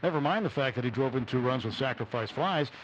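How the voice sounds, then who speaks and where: A man's voice: mid-range voice, animated, quick pace. A man giving unscripted postgame analysis as a baseball radio broadcaster. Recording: dull, faintly noisy radio broadcast.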